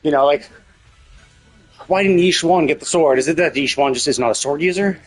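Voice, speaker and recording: A man's voice: a little high, fairly quick. A man livestreaming casual commentary on a game's story, thinking aloud in a rambling, opinionated way. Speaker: live streamer.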